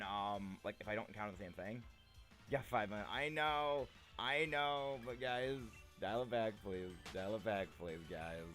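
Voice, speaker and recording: Playful, chatty young man streaming. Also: fairly low pitch. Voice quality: slightly gravelly. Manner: playful, chatty, repeats himself, reads chat aloud. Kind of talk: casual live-stream chat with viewers.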